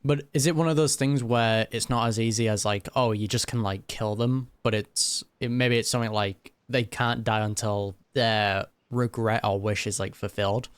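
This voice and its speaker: A man's voice: fairly low pitch, fairly even intonation, moderate pace, hardly any pauses, clear, resonant tone, dry recording. A man giving casual, musing commentary as he reacts to a game, speaking informally. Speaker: gaming reaction YouTuber.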